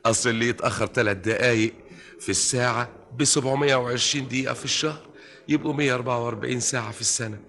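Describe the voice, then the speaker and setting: An Egyptian actor playing a fussy, over-polite and nosy government clerk in an old radio comedy, effusive, repetitive and comically precise. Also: male voice, mid-range voice, fairly even intonation, very fast, vocal fry, bright, forceful tone. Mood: anxious complaining.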